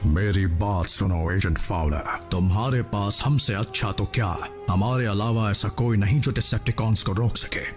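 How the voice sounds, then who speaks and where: A man playing a noble robot leader in a cartoon, his voice lowish and steady as he speaks with measured calm. Soft music sits behind him. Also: lightly acted. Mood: grave. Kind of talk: Hindi cartoon dub.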